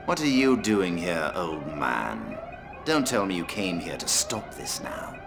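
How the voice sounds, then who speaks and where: A cold video game villain, full of contempt. The voice is very low but moves with lively, mocking swings, over clear background noise. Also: male voice, a little slow, exaggerated voice. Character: cold, contemptuous villain indifferent to a planet's fate.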